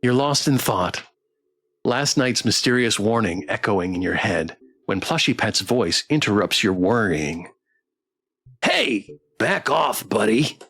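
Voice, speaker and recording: A man's voice: lowish voice, lively intonation, a little slow, fairly bright tone. A man live-streaming a visual novel, reading aloud in a playful, theatrical way and adding his own comments. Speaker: game streamer.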